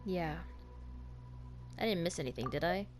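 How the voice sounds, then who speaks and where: A woman's voice: on the low side, expressive, normal speed, soft background music. A woman streaming her reaction to a game story, with casual, informal, slangy commentary. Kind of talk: casual live gameplay commentary.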